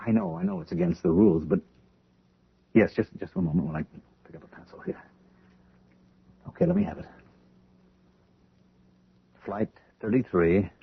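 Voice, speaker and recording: American man, vengeful obsessive, insistent and urgent, slightly rough voice, dry lo-fi vintage radio drama. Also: mid-range voice, dry recording, exaggerated voice. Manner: urgent, insistent, stammering under stress. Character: agitated, obsessed man bent on vengeful payback. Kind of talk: old-time radio drama acting. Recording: muffled vintage radio broadcast recording.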